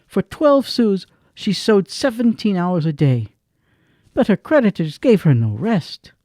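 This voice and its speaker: Slow, expressive male narrator. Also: high-pitched, no room sound, studio quality. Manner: slow and expressive, swings between hush and emphasis. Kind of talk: dramatic radio play narration.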